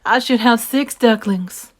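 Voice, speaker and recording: A woman doing a lively, stern mama duck. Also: a little high, measured pace, pitched above the performer's own voice. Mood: motherly. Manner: warm, lively and scolding. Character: caring but stern mother duck. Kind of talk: storybook reading.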